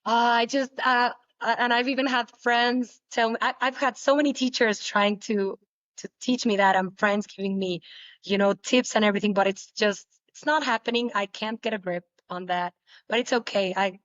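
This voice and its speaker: A young woman whose native language is Spanish, answering interview questions casually, with many halting restarts. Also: on the high side, fairly even intonation, fairly bright tone, no room sound, dull audio. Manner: halting, repeats words, laughs often. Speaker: guest, pole dancer.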